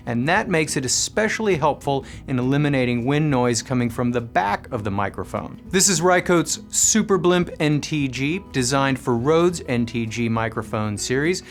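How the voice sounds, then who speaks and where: A man presenting a scripted product review, explaining things with clear enunciation. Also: fairly high pitch. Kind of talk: scripted product review narration with live demo commentary.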